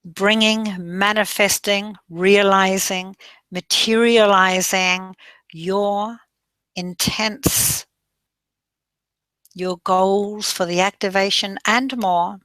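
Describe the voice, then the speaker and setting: A woman leading a guided meditation in a slow, soothing voice that drifts into soft breathy passages. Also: medium pitch, fairly even intonation, no room sound.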